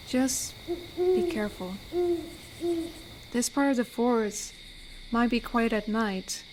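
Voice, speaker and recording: A woman voicing an amiable, protective, lonely female werewolf in an intimate audio roleplay, speaking soothingly and reflectively with pauses. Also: mid-range voice, slight background noise, lightly acted, rough recording. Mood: gentle, guarded. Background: night forest ambience with crickets.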